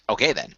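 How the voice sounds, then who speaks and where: A man, a cast member of a radio play, talking quickly with frequent restarts in a casual online group chat over muffled audio. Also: sharp tone, dry recording. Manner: fast, restarts often.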